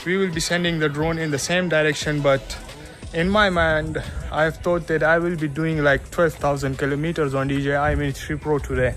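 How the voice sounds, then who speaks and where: A man's voice: fairly high pitch, flat delivery, moderate pace, fairly bright tone, soft background music. Young Pakistani man vlogging outdoors. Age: 20s. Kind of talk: vlog narration to camera. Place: outdoors on a rocky hillside. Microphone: phone held at arm's length.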